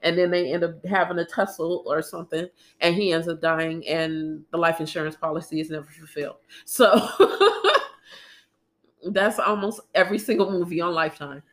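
A woman's voice: lowish voice, normal speed, a bit bright. A young woman co-hosting a podcast in casual, chatty talk, congested from a cold and laughing often. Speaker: podcast co-host.

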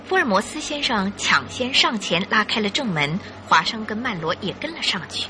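A woman narrator reading a scripted radio drama in an expressive, dramatic storytelling manner. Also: mid-range voice, normal speed, over loud music.

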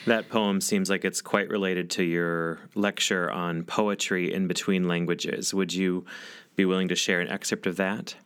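Guy with a really low voice, smooth and clear, asking interview questions. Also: level tone, unhurried, a bit bright. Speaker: interviewer, podcast host.

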